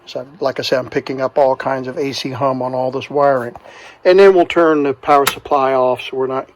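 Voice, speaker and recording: A man's voice: medium pitch, fairly even intonation, fairly quick, slight background noise. A man casually demonstrating and explaining a repair, plainspoken and step by step, as a hobbyist host. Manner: plainspoken, talks through each step.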